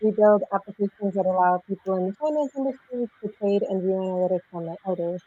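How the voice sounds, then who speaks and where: A woman software engineer from Mexico, raised in Florida, introducing herself calmly on a video call. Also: medium pitch, fast, lo-fi sound. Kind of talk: panel introduction.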